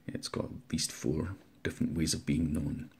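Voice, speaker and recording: Very husky, low-pitched man reading aloud. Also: flat delivery, normal speed, a little mellow. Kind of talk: informal introduction and dramatic poetry recital.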